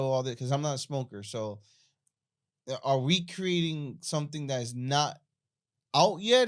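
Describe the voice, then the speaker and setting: A man hosting a podcast, asking questions in an eager, animated way. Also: mid-range voice. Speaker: podcast host. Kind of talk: casual interview.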